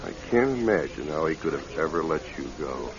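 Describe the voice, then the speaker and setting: An actor playing an earnest, quietly intense widower who is courting, in a classic radio drama style. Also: male voice, very deep voice, lively intonation, fairly quick, crowd noise. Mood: earnest, wooing. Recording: dull, lo-fi old radio recording.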